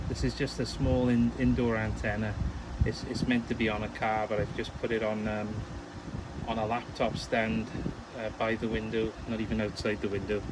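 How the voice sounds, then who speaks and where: A man's voice: fairly low pitch, background noise. A man chatting casually and at rambling length as an amateur radio operator. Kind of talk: casual ham radio chat.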